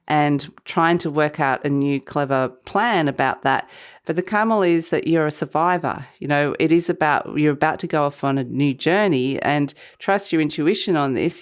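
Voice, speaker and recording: A woman with a slightly croaky voice from a cold, giving a weekly tarot reading to camera in a meandering, reflective way. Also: low-pitched. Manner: meandering, reflective, intuitive musing. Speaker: tarot reader.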